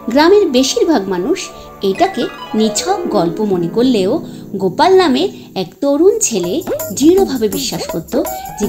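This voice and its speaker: A woman storyteller narrating a moral tale in an expressive, scripted reading style. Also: fairly high pitch.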